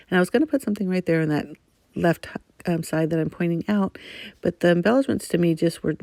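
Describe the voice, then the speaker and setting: Brief: middle-aged woman, crafting voice-over, rambling, slightly gravelly, dry close sound. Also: lowish voice, dark tone, dry recording. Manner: rambling, chatty, many ums. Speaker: scrapbooking channel host.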